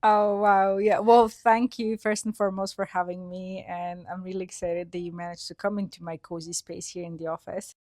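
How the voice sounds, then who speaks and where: Lively low-voiced woman from Slovenia. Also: moderate pace, few pauses, no echo, studio quality. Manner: lively, laughs often, swings in pitch. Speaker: guest nutrition specialist. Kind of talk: interview storytelling.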